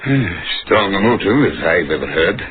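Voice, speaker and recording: An actor playing a blunt, curious colleague of a police inspector in a 1950s radio drama. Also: male voice, low-pitched, sing-song, moderate pace, crowd noise. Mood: prodding. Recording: tinny vintage radio broadcast.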